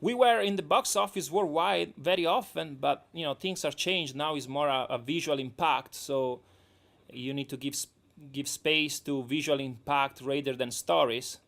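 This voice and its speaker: A man from Italy with a strong Italian accent, a documentary director speaking as a guest on a radio talk show, halting and repeating words as he goes. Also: on the high side, gravelly, a bit bright. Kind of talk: radio interview over the phone. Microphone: phone line.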